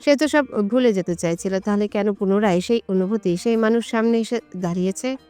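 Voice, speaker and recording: A woman's voice: medium pitch, brisk, mellow, smooth, soft background music. A woman reading a scripted romantic story as its narrator.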